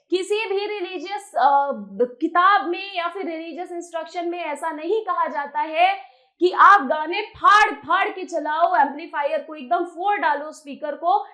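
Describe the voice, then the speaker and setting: An Indian woman with a high-pitched voice teaches as if to a class. She explains point by point in an emphatic, conversational manner, often asking a question and then answering it herself. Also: a bit bright. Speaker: teacher, exam coaching presenter. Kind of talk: explanatory lecture mixing Hindi and English.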